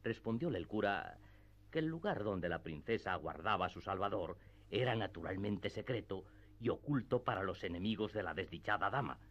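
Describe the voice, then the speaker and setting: A man narrating a classic tale for radio theatre in a measured storytelling manner. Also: low voice, animated, fairly bright tone, muffled recording.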